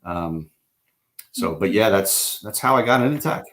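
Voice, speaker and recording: A middle-aged man from the East Coast of the United States speaks on a video call in a very low, deep voice. He tells his career story unhurriedly, stopping and restarting often and filling pauses with ums and uhs. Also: animated, a bit bright. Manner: unhurried, halting, many fillers and restarts. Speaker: interview guest. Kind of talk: online interview, telling his career story.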